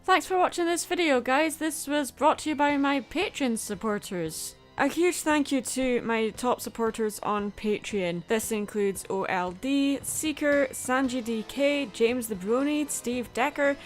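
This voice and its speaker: High-voiced woman narrating a video essay. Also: unhurried, hardly any pauses, faint music behind. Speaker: host of a cartoon review channel.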